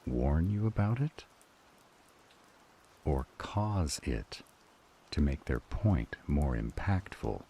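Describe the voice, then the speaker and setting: A man playing a weary, firm demon council member in an audio drama, speaking in a measured, argumentative way. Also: rumbling low, very animated, mellow, a little hiss or hum, full character voice. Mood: challenging. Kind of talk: dramatic audio roleplay acting.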